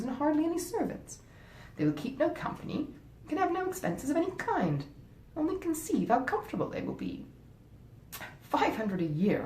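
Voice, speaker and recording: A woman reading a classic novel aloud as a grasping, self-justifying married gentlewoman, genteel, insistent and coolly persuasive. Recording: roomy echo, faint rumbling noise.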